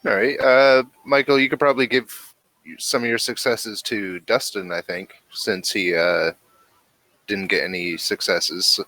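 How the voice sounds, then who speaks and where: A man with a low voice leads a tabletop role-playing game. He speaks slowly and haltingly, often searching for the right word, and the sound is dry and close. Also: steady tone, bright, forceful tone, no echo. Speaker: game master.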